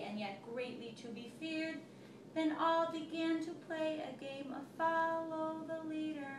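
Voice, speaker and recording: A woman teacher reading a picture book aloud to a child, telling it expressively and stopping to ask questions. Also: high-pitched. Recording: echoey room, recorded from far off, noisy.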